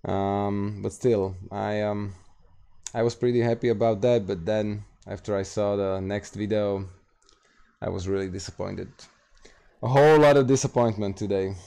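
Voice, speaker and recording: A man from Slovakia with a Slovak accent, hosting a late-night gaming livestream and answering chat questions in a halting, casual way full of fillers. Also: lowish voice, fairly even intonation, vocal fry, mellow, clean tone. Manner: halting, many fillers and restarts, reads out chat. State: tired, streaming late at night.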